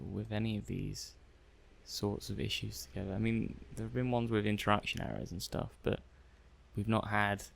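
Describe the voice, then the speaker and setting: Man acting a low, creaky-voiced curious lab technician. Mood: puzzled, methodical. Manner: hesitant, musing, thinking aloud while taking notes. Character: curious male robot-testing technician, methodical and intrigued. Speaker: roleplay performer. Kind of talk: ASMR roleplay, soft-spoken improvised monologue.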